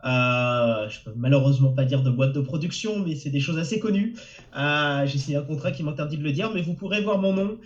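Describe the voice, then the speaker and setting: A man's voice: a little high, fairly quick, reverberant. A man from France with a cold, a novelist answering webinar questions with lots of hesitant fillers and restarts over a muffled video call. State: has a cold. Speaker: novelist guest.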